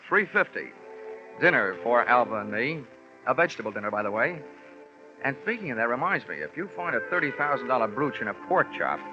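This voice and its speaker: Male actor as radio insurance sleuth: medium pitch, dry, wisecracking narrator, old-broadcast sound. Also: lo-fi sound, lightly acted. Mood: dry, wry. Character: wry, wisecracking male insurance investigator narrating his case. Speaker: lead and narrator of a radio drama. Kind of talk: 1950s radio detective narration.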